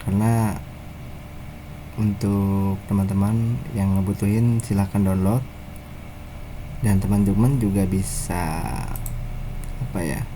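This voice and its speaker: An Indonesian man giving an informal software tutorial in a casual, halting, step-by-step way, as a developer showing his own app. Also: sits low, fairly even intonation, background noise.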